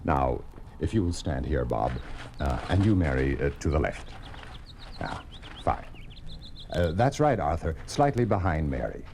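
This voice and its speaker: An actor playing a calm, devout parson who speaks in a solemn, measured, ceremonial way in a 1950s radio drama. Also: male voice, very deep voice, gravelly, slight background noise, exaggerated voice. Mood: gentle, steady. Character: calm devout male parson marrying a couple in secret. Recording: old radio recording with music.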